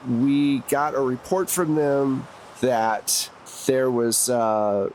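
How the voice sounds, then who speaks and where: A man's voice: medium pitch, slight background noise, low-quality recording. Conversational man running a tabletop game. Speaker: game master. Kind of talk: narrating a tabletop roleplaying game. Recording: music behind the voice.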